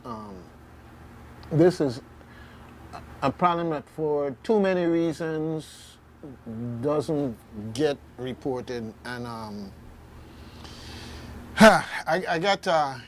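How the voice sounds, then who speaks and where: Slow-talking Caribbean male radio host. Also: fairly high pitch, lively intonation. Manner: halting, reflective. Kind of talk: informal radio discussion. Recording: faint background noise.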